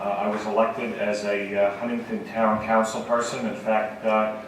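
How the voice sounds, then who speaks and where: A middle-aged man running for state senate gives a campaign speech at a forum. His voice is raspy and hoarse, and he speaks in measured phrases with frequent pauses. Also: fairly low pitch, a bit bright, slight background noise. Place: campus event hall. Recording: very echoey hall from far off.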